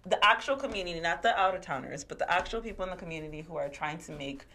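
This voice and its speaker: A woman's voice: lowish voice, animated, racing, sharp tone, slight background noise. A young woman with a Haitian and Miami accent, talking eagerly as a guest in a small studio, with lots of likes and restarts. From United States. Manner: enthusiastic, many likes and restarts. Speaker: guest, nonprofit organizer. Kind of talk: casual interview talk. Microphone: desk mic on boom.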